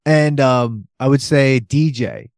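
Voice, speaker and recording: A man co-hosting a comedy podcast, excitedly rambling through trivia and restarting his sentences. His voice sits at an everyday middle pitch. It is recorded close and dry, with no room sound. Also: takes it slowly, no echo. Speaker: podcast co-host. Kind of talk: casual comedic movie chat.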